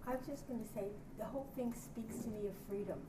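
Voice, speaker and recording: A woman's voice: a little high, quick pace. A woman in a group discussion, speaking in an earnest and reflective way. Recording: distant, echoey, noisy room.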